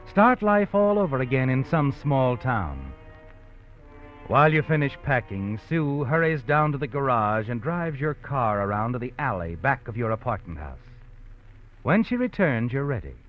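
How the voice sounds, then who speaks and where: An actor playing a mysterious narrator who speaks straight to the hero in a 1950s radio drama, knowing and dramatic. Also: male voice, mid-range voice, lightly acted. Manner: second-person, knowing, dramatic. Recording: muffled 1950s radio with music under it.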